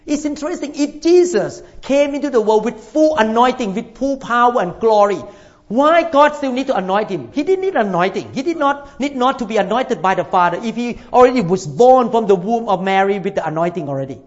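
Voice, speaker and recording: A man's voice: very high voice, animated, fairly quick. A man, from Thailand, preaching a sermon as a pastor, emphatic and repeating his points while calling for amens from the congregation. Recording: dull lo-fi recording.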